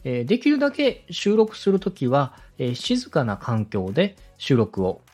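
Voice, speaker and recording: Podcast host, man, animated pitch swings and slightly rough tone, courteous and methodical advisor. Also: moderate pace, soft background music. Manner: polite, explanatory, methodical. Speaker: podcast host, radio director coaching a co-host. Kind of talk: structured advice talk for a podcast.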